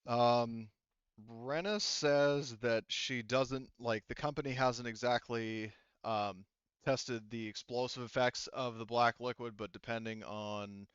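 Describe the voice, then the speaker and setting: Guy running a tabletop game, smooth clear voice, rambles and restarts a lot, kinda dull dry mic. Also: mid-range voice, steady tone, fairly bright tone, dry recording. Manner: rambling and halting, casually profane. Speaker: game master. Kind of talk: casual improvised explanation of tabletop game rules and lore.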